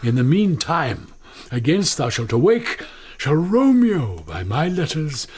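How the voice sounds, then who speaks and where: A husky, slow-spoken elderly British friar. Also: male voice, fairly high pitch, very animated, a little mellow, full character voice. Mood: grave instructing. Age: older. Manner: measured, declamatory. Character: grave elderly friar, fatherly and urgent. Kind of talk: classical Shakespearean radio acting.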